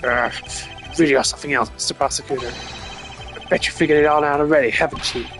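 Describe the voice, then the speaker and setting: A man's voice: a little high, fairly even intonation, fast, music in the background, lightly acted. A man voicing a brash, teasing detective from a courtroom video game, with a casual, taunting manner. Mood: taunting, impatient.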